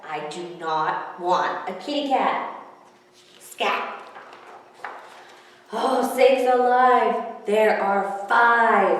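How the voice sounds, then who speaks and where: A woman's voice: medium pitch, distant mic, close to an everyday voice. Woman reading as a grumpy old man, very echoey. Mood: exasperated, worried. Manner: exclamatory, rhyming, exasperated. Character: grumpy, soft-hearted old man refusing stray cats.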